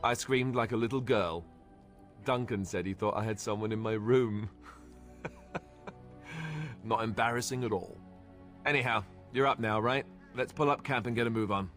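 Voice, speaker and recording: An actor playing a friendly, self-deprecating young warrior in a fantasy video game, conversational and wry. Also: male voice, mid-range voice, a little slow, long pauses, fairly bright tone, faint music behind, close to an everyday voice. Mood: wry, reassuring.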